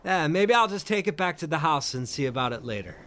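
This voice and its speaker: An actor playing a haunted, curious solitary man in an audio drama, halting and gravelly, as if thinking aloud. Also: fairly high pitch, expressive, fairly bright tone. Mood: curious, musing. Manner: hesitant, halting, murmuring to himself. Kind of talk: first-person dramatic narration with in-scene dialogue.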